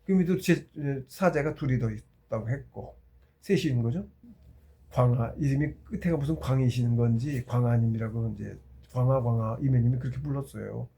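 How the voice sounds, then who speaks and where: A man in his sixties giving an informal, rambling talk, halting and circling back as he tells anecdotes. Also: medium pitch, gravelly, mellow. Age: 60s. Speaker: spiritual practitioner giving a talk.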